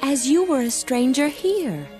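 An actress playing a devoted young daughter-in-law in an animated Bible film, earnest and gentle. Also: female voice, high voice, measured pace. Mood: earnest, loving. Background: music.

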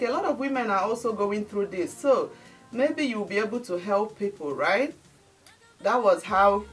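A woman's voice: mid-range voice, fairly bright tone, far from the mic. A woman in her thirties from Nigeria, speaking with a Nigerian accent, chatting animatedly to camera in a home studio and calling her viewers guys. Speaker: beauty and lifestyle vlogger. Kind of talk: casual chat to camera. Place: bright indoor home studio.

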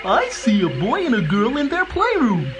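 A man's voice: extremely high pitch, huge pitch swings, moderate pace, music in the background, heavily acted. A man voicing an eager, naive little helper in a children's audio story, earnest and gee-whiz. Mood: dutiful.

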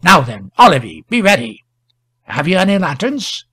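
A man's voice: on the high side, huge pitch swings, moderate pace, sharp tone, breathy edge, heavily acted. Man playing a grim, thick-toned, commanding hangman. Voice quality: thick tones. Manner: formidable, peremptory. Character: grim, sinister, commanding hangman gentleman in grey.

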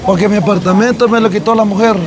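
Young Salvadoran male interviewee, high-pitched, emphatic and slangy, on a noisy street with traffic. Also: fairly even intonation, fast talker. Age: young adult. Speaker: interview guest, former footballer living on the street. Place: outdoors on a city street at night. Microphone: clip-on lavalier.

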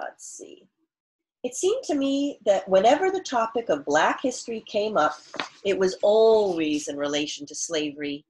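A middle-aged woman reads aloud like an elementary school teacher. Her pitch is medium and moves around a great deal. She stresses key words and often breaks off to explain in plain terms. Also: low-quality recording. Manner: expressive, emphatic, pausing to explain. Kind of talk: read-aloud with explanatory asides to pupils. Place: small furnished room at home, indoors.

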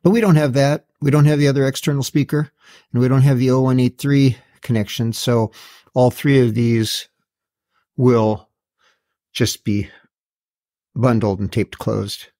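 A man's voice: mid-range voice, steady tone, unhurried, long pauses, dark tone. A middle-aged or older man giving a casual, matter-of-fact product walkthrough as a video host, with a slightly wry, plain-spoken way of talking. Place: indoor garage workshop.

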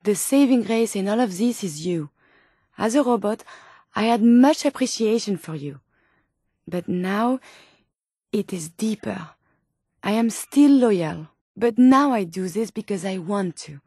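A woman's voice: medium pitch, lively intonation, a little slow, dark tone, husky, no echo, clean studio sound. A woman playing an earnest, polite female robot scientist in a video game, with a French accent and formal, precise wording. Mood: tender. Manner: formal, precise, carefully worded. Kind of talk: video game character dialogue.

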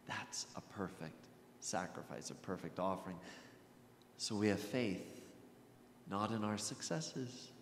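A middle-aged American man preaching a sermon as a Lutheran pastor, with emphatic delivery and deliberate pauses. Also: fairly low pitch. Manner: emphatic, dramatic pauses and repetition, often dropping to a hush. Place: church sanctuary at a pulpit. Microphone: gooseneck pulpit microphone. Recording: echoey church hall with background hiss.

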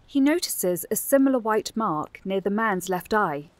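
A woman narrating a fantasy audio drama as a scripted story, in a measured, descriptive manner. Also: mid-range voice, lively intonation, no echo, close to an everyday voice. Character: female narrator of a fantasy adventure.

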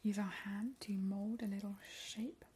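A woman playing a playful, ghoulish vampire in a whispered ASMR roleplay, breathy and slow, with soothing relish. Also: medium pitch, level tone, natural voice. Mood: hushed, ghoulish. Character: playful, ghoulish immortal female vampire baking gruesome treats. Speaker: ASMR host in character. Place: home kitchen, indoors. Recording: noticeable background hiss, soft clicks.

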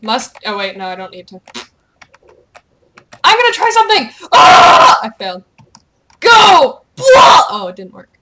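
A young woman chatting casually while gaming, excitable and playful, with goofy exclamations and bits of on-screen text read aloud. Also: high voice, unhurried, pauses often, raised voice, very noisy. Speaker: gamer, video creator. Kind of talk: casual gameplay chat, reading quest captions aloud.

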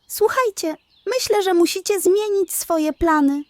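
Woman playing a wise male elephant, proud, earnest, emphatic, raised pitch, children's storybook. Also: unhurried, a little mellow, dry recording. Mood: urgent warning.

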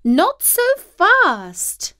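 A woman doing a whining, high-pitched storybook cat. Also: huge pitch swings, bright, forceful tone, full character voice. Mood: fussy. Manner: whining, drawn-out and fussy. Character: whiny hungry skinny house cat.

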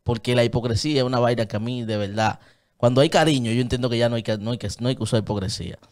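A man's voice: mid-range voice, racing, darkish tone. Dominican guy in his 30s, earnest, gestures a lot, studio desk mic, small padded booth. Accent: Dominican Spanish. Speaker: guest. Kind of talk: casual studio chat. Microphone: studio mic on a desk stand, headphones on.

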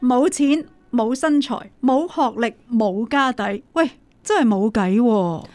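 A blunt, teasing woman friend in a radio play, fairly high in pitch. She has a Hong Kong Cantonese accent, with faint music behind her. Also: animated, slow, darkish tone, no room sound. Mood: blunt, dismissive. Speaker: radio drama actress. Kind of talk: radio drama acting.

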